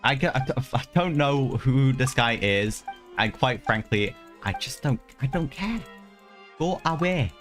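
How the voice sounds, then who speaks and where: A man's voice: medium pitch, animated, a little slow, a bit bright. A man giving casual, slangy live gameplay commentary as a streamer, grumbling and talking back to the game. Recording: game music behind the voice.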